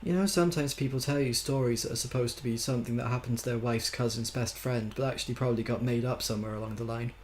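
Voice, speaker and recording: A man's voice: medium pitch, steady tone, fast, hardly any pauses, slight background noise, lightly acted. A man voicing a mild, affectionate storybook man who rambles dryly through his anecdote. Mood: earnest.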